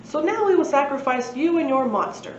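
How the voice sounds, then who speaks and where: A woman's voice: high-pitched, lively intonation, fairly quick, pitched above the performer's own voice. A woman reading a storybook, voicing a solemn fat man who leads an ancient temple, stately and declarative. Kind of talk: storybook read-aloud. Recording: echoey room, muffled, faint noise.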